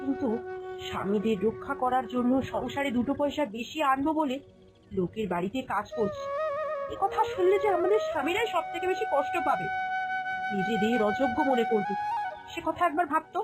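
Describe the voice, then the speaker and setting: A woman's voice: high-pitched, animated, fairly quick, over loud music, poor audio. A woman playing a caring, cautious village housewife, an elder sister-in-law, in a moral-story cartoon, speaking earnestly and expressively. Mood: troubled.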